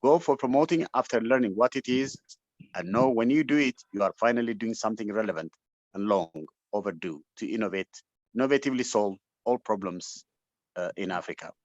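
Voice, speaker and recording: A man's voice: lowish voice, a little fast, no echo. A man with an Ethiopian accent giving an impassioned, unscripted motivational address as a webinar speaker, emphatic and exhortative, often repeating himself for weight. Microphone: online call microphone. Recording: dull, lo-fi webinar audio.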